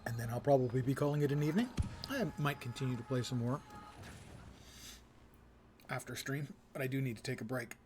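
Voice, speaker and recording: A man's voice: mid-range voice, a little fast, long pauses, over music. A middle-aged man giving casual live commentary on his game, thinking aloud as he plays. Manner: thinks aloud while playing.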